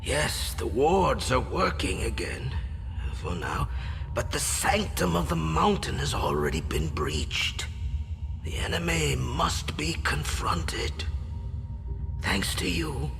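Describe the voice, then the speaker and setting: An actor playing a solemn, weary mystic elder in a video game, speaking gravely and portentously with a gravelly, breathy voice. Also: male voice, mid-range voice, exaggerated voice. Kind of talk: video game cutscene acting. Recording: music under the voice.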